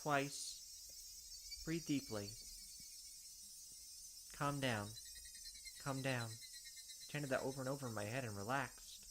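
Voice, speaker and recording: A man reading a visual novel's text aloud as a let's play narrator, dramatic, with quick asides of his own. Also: medium pitch, background noise.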